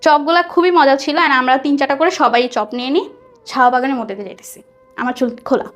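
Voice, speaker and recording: Young Bangladeshi woman, high-pitched, recounting her own story in conversation, soft music underneath. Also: a little fast. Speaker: guest telling a personal story. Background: background music.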